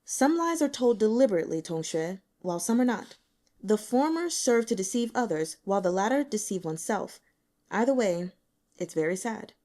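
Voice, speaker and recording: A woman voicing a gentle, considerate, soft-spoken man in an audiobook. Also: medium pitch, expressive, moderate pace, natural voice. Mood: gently rueful. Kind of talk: audiobook character acting.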